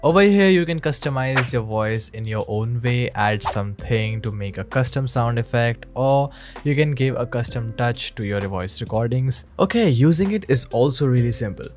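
A man presents a step-by-step tech tutorial as a voice-over, speaking at a medium pitch. He addresses viewers directly in an upbeat, instructional way. Music plays clearly behind his voice. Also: animated, moderate pace. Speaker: YouTube tech tutorial host. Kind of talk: scripted software tutorial voice-over. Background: background music.

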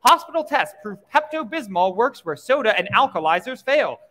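Announcer: American man, 1940s radio style, high-pitched, declamatory, reverberant hall. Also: very animated, normal speed, bright, forceful tone. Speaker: radio show performer and announcer. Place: stage in a museum event hall. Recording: reverberant hall stage sound.

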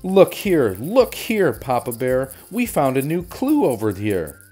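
A man's voice: medium pitch, wildly swooping pitch, measured pace, over music, pitched above the performer's own voice. A man voicing an eager, careful little bear cub detective from a children's storybook, speaking earnestly. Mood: eager, cautioning.

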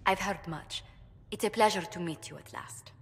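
An actress playing a poised female ambassador who speaks in a gracious, measured, formal way. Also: fairly low pitch, long reverb tail, close to an everyday voice. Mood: composed. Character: poised female court ambassador, polite and diplomatic.

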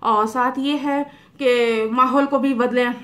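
A middle-aged woman homeopathic doctor, explaining remedies to camera in an unhurried way with many fillers, in a small room. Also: fairly high pitch, fairly even intonation. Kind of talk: health advice talk to camera. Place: small indoor room by a curtained window.

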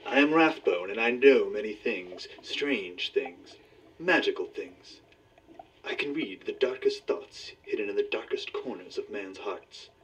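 An actor playing a grandiose magician detective in an old radio serial, declaiming theatrically with drawn-out words. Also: male voice, on the low side, expressive, fairly bright tone, husky, recorded from a distance, heavily acted, poor audio. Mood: ominous, mysterious. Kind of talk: 1940s radio serial acting.

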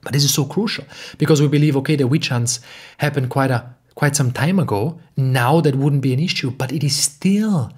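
A young man from Austria speaking in an earnest, emphatic way, slowly repeating phrases as he talks alone to the camera at home. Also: mid-range voice, darkish tone, breathy edge. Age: young adult. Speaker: podcast host and spiritual teacher. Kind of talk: reflective solo talk. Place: home living room.

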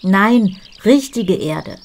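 Eine Frau, die ein eifriges, etwas bestimmendes junges Mädchen spricht, lebhaft und erklärend. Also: female voice, mid-range voice, expressive, drawn-out words, creaky, darkish tone, slight background noise, heavily acted. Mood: eager. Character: eager young girl gardener, bossy.

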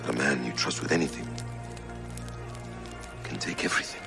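An actor playing a grieving, betrayed warrior in a video game, speaking in a hushed, halting, brooding way. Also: male voice, a bass voice, gravelly, music in the background. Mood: quiet grief.

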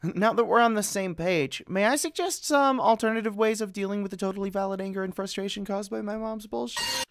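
A woman voicing an earnest, excitable teenage boy hero in a cartoon comic dub. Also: mid-range voice, fairly quick, natural voice. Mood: reasoning. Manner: animated, earnest. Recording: music behind the voice. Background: music.

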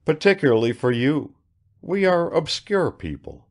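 A man's voice: on the high side, sing-song, unhurried, voice pushed up, heavily acted. A man voicing a blunt, brooding young male intellectual with a harsh, argumentative manner in an audiobook. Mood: harsh, guarded. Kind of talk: dramatised literary audiobook dialogue.

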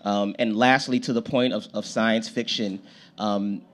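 A man's voice: fairly low pitch, fairly even intonation, measured pace, a bit bright. A young man speaking casually on a design panel, hedging with fillers and tag questions, into a handheld mic in an indoor lounge. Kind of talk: panel discussion. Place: lounge venue with audience, indoors.

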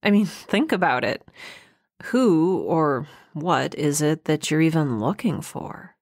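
Lively, wry young spacefarer woman. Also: sits low, slow pace, heavily acted. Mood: wry, musing. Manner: casual, quippy, wry. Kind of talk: audio drama acting.

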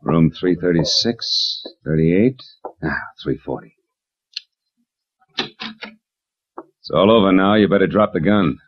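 Deep-voiced hard-boiled American detective. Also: male voice, slow pace, long pauses, slight background noise. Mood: brooding, wry. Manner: clipped, slangy, sardonic delivery. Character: hard-boiled, wry, world-weary male private detective. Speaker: lead actor and narrator. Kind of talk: 1940s radio detective drama acting. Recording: muffled old radio recording.

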